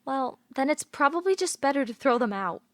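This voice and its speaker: Actress as caring young woman, high voice, frank, questioning, childhood friend, audio drama. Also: animated, brisk, no room sound, studio quality. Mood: concerned, pressing. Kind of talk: audio play acting.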